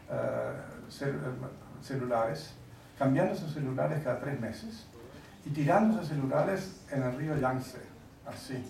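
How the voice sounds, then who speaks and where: Gravelly man lecturing in an echoey hall. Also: on the high side, darkish tone, slight background noise. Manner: halting, searching for words with many fillers; wry, conversational. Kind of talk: lecture to an audience. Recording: echoey hall, mic at a distance.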